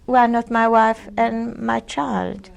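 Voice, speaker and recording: A Polish-born woman in her sixties giving oral history testimony in an interview, often pausing and searching for words. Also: on the high side, steady tone, creaky. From Poland. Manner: hesitant, searching for words with many ums and restarts. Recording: 1980s audio cassette interview.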